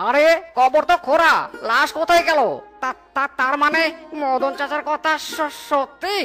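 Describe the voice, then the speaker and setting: A worried cartoon villager acted by a woman with a high voice. The character sounds uneasy and easily startled, with faint music behind. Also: fairly even intonation, unhurried, fairly bright tone. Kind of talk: children's cartoon acting. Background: faint background music.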